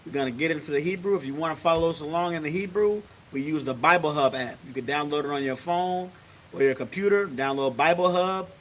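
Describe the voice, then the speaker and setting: A man's voice: a little high, fast. A man leads a service in a loose, chatty way, peppering every line with "you know what I'm saying". A faint hiss runs under the recording. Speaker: religious teacher leading a service. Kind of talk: casual sermon.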